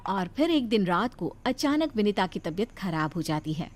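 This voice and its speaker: A woman's voice: medium pitch, very animated, normal speed, a little hiss or hum. A woman narrator reading a scripted story.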